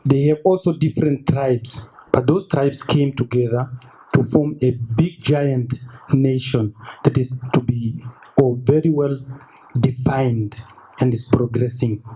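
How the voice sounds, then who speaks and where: A young man in the audience making an earnest comment, pausing often to find his words. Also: medium pitch, fairly even intonation, mellow, husky, low-quality recording. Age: young adult. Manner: earnest, halting with fillers. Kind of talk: public comment.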